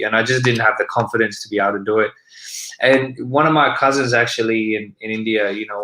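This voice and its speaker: A young Australian-accented man, raised speaking Punjabi, reflects in a relaxed interview. His voice sits low but comes across bright and forward. He keeps a very flat, even melody while he rambles through his thoughts. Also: low-quality recording. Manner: reflective, rambling with frequent "you know" and restarts. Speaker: guest musician. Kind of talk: casual interview chat.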